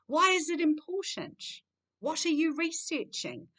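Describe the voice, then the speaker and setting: A woman hosting a formal event, speaking in articulate, emphatic, measured phrases. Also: high voice, sing-song, fairly bright tone. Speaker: host, university lecturer.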